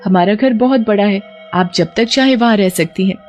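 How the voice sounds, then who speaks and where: A woman's voice: mid-range voice, normal speed, darkish tone, soft background music, natural voice. A woman voicing a kind-hearted, chatty college-age daughter in a storybook tale, speaking eagerly and pleadingly. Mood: sympathetic.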